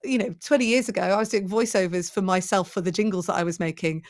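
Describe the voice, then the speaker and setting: A woman's voice: medium pitch, fast. Enthusiastic woman thinking aloud. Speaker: presenting coach, guest. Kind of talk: conversational podcast interview.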